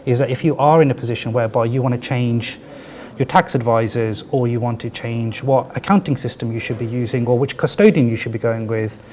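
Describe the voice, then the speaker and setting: A man with a quiet voice giving a conference talk as a finance chief, conversational and peppered with "right?" asides. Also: mid-range voice, brisk. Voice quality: soft, quiet voice. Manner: conversational, tag questions like "right?" and "yeah?". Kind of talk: conference presentation. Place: conference venue with audience.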